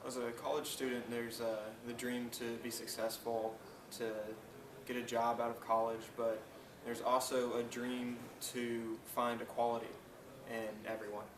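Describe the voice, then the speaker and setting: A twenty-one-year-old college student from North Carolina giving thoughtful, earnest interview answers. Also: male voice, medium pitch, little pitch movement, unhurried. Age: 20s. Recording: roomy, distant mic, background noise.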